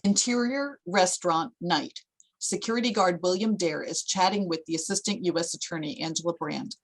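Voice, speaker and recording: A woman's voice: lowish voice, normal speed, rough recording. A woman narrator reading screenplay scene headings and stage directions as scripted narration.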